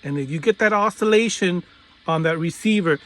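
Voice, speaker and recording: Higher-voiced man giving a hands-on tutorial. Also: a bit bright. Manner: instructional, halting step-by-step phrasing. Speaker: tutorial presenter, radio hobbyist. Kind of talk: informal hands-on demonstration.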